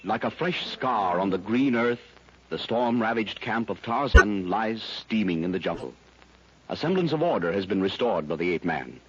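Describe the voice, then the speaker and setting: A man's voice: fairly low pitch, lively intonation, normal speed, slight background noise. A man narrating a 1930s radio serial, setting the scene in a dramatic way. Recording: dull 1930s radio recording.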